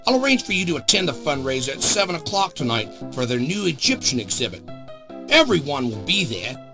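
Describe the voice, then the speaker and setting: An actor playing a gruff, blunt, middle-aged big-city newspaper boss in an adventure game, with music behind him. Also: male voice, on the high side, sing-song, brisk. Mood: bossy. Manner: blunt, bossy, emphatic. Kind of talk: scripted adventure game acting. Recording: music under the voice.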